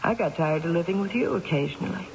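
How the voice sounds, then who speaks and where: An actress playing an anguished, sleepless middle-aged wife with a wry bitter edge, in a dramatic, halting old-time radio style. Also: female voice, fairly low pitch, vocal fry. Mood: wounded, sardonic. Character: anguished, insomniac wife and mother, wryly bitter. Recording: muffled, noisy old radio recording.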